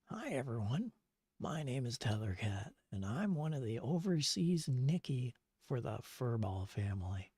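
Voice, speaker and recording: A man's voice: mid-range voice, big pitch swings, unhurried, darkish tone, slightly rough, no echo, studio quality. An older man, chatting casually on his livestream in a gushing way, with lots of fillers. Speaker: streamer.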